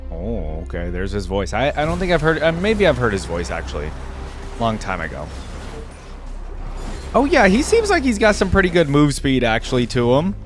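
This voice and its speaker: A man's voice: medium pitch, wildly swooping pitch, normal speed, faint music behind. A man giving casual reaction commentary as the host of a gaming video.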